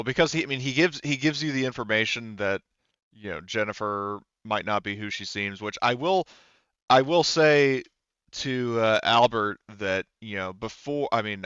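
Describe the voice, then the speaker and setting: A man narrating a tabletop roleplaying game as game master, in a halting, conversational way, rephrasing as he goes. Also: lowish voice, bright, forceful tone, smooth, no echo, dull audio.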